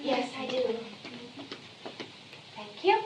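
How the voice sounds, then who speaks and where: A woman's voice: fairly high pitch, very animated, extremely slow, background noise, exaggerated voice. An actress playing an earnest, awkward young woman in a stage-style comedy, on slightly muffled sound.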